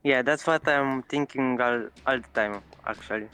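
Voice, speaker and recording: A man from Romania with a Romanian accent, answering interview questions in a halting way with dry humor. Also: mid-range voice, sharp tone, a little hiss or hum. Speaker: guest player.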